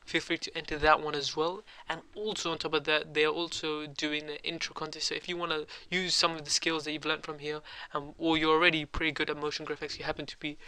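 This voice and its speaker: A young man with a cold, hosting a casual software tutorial at his computer and rambling with lots of ums and uhs. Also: fairly high pitch, flat delivery, fast talker, hardly any pauses. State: has a cold. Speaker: tutorial host.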